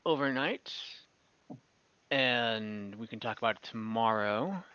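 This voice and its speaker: A man voicing an old kobold leader and grandfather in a tabletop game, speaking politely in halting, measured phrases. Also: mid-range voice, lively intonation, sharp tone, clear, resonant tone, no room sound, highs cut off. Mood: wary, polite.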